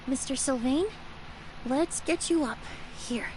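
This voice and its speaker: A woman playing a composed, sympathetic young investigator in a fantasy video game, speaking in a measured, gentle, articulate way. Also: sits high. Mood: gentle, consoling. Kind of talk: scripted video game dialogue. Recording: noisy game stream capture.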